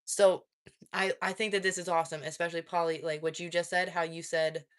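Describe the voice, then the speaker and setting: A woman's voice: on the low side, little pitch movement, fairly quick, smooth. A woman hosting a casual live stream, reading chat and asking probing follow-up questions, with frequent laughs and fillers. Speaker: livestream host reading chat.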